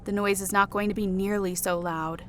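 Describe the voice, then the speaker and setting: A man voicing a calm, thoughtful fantasy noblewoman with measured, formal phrasing in an audiobook. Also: sits high, slight background noise, voice pushed up. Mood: calm musing. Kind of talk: audiobook character voice.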